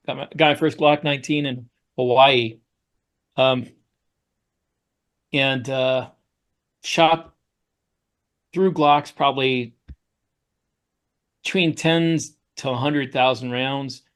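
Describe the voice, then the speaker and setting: A man's voice: medium pitch, fairly bright tone, smooth. A middle-aged man co-hosting a podcast, telling anecdotes in casual conversation. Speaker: podcast co-host.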